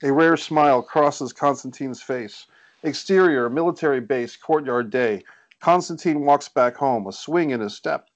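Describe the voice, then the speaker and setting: Male narrator, middle pitch, scripted stage directions, screenplay table read, video call. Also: unhurried. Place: indoors on a group video call.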